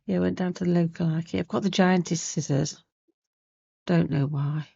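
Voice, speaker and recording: Woman host, sniffly, chatty craft tutorial; low, dry close-miked voice. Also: fairly even intonation, normal speed, vocal fry, mellow, breathy edge, no room sound, muffled recording. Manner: chatty, rambling, loses her thread. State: sniffly with a starting cold. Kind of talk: casual sewing tutorial chat.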